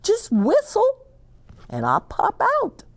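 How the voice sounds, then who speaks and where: A woman voicing a cheerful, helpful magic bear in a read-aloud storybook, talking in a breezy, excited way. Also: very high-pitched, takes it slowly, pitched above the performer's own voice, full character voice.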